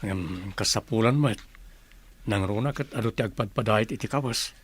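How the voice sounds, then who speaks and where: A man performs a grieving Filipino father in a radio drama. His voice sits fairly low, and he sounds weighed down and subdued. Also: normal speed, breathy edge. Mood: sorrowful. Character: grieving, burdened younger brother and father.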